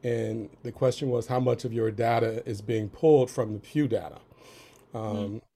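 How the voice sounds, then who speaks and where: Hoarse, gravelly man, low and flat. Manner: halting, many ums, careful phrasing. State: under the weather, raspy voice. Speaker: audience member asking a question. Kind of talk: webinar question.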